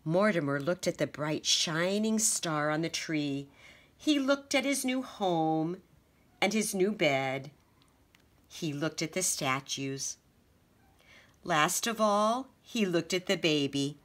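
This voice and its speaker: Slow, expressive woman reading a picture book. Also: deep voice. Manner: expressive, gentle storytelling. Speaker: teacher reading aloud. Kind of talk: children's picture book read-aloud.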